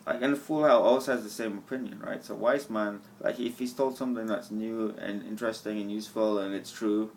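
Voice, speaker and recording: A man's voice: on the low side, fairly even intonation, a little fast, hardly any pauses, a little hiss or hum, poor audio. A young man with a slight Scottish-tinged accent, rambling profanely to his camera in a stream-of-consciousness monologue, often laughing at himself, at an outdoor bus stop. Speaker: vlogger. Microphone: camera mic.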